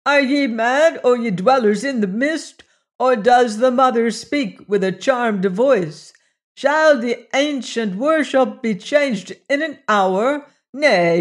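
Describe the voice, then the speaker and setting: A man voicing a furious old high priest who roars commands in a ringing voice. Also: full character voice. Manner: thunderous, commanding.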